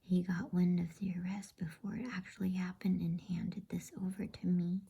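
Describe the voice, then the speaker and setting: A wary storybook beaver, voiced by a woman, whispers in a throaty, very husky hush. The creature is secretive and polite, urging care in a dark, muted tone. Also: on the low side, level tone, moderate pace, full character voice. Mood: friendly, confiding. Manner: hushed, urgent, polite.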